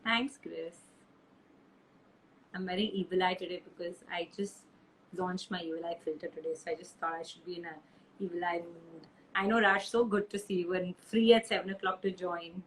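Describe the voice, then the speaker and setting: A woman's voice: lowish voice, fairly even intonation, normal speed. A woman hosting a live stream, chatting casually and effusively over a roomy, hissy call connection.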